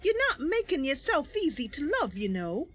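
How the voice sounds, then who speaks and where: Tiny, squeaky, plucky elf girl. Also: big pitch swings, normal speed, soft background music. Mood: earnest, sad. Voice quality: small, girlish. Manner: innocent, emotive. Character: tiny plucky young elf girl, eager, earnest would-be knight.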